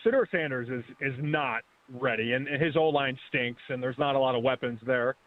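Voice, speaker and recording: A man in his thirties calling in as a betting guest, talking fast and casually, often restarting his words. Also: medium pitch. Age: 30s. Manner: quick, restarts words, chatty. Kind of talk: casual sports betting chat. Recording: phone line.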